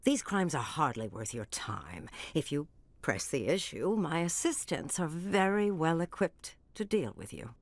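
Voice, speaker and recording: A calculating woman who runs a crime syndicate in a video game. Her voice is very low and recorded dry. She speaks formally and evenly, with polite threat under it. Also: big pitch swings, no echo, heavily acted. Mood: calculating, threatening. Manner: formal, measured, smooth-talking and veiled in threat. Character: cool, calculating female crime syndicate boss.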